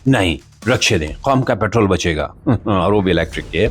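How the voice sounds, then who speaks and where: Pakistani guy hosting satire news, sarcastic n theatrical, super lively pitch swings, bit of music behind. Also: brisk. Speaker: host of a satirical news show. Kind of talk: satirical monologue to the audience.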